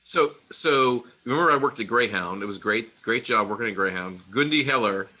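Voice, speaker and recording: A man's voice: medium pitch, expressive, normal speed, pushed and bright, low-quality recording. A middle-aged man telling his story in a casual interview, rambling with many restarts and laughing between thoughts. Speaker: interview guest, Army veteran.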